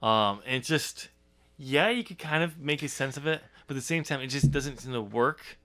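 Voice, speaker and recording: Man, podcast host, medium pitch, casual discussion, informal bantering manner. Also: normal speed, sharp tone. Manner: chuckles often, informal banter.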